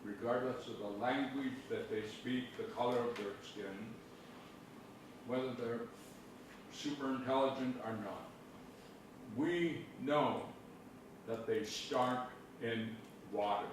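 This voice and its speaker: A man's voice: mid-range voice, slow, long pauses. Man, audience participant, emphatic, distant, very echoey, noisy. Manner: earnest, emphatic. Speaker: workshop participant. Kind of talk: speaking up from the audience. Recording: echoey room from far off with noise.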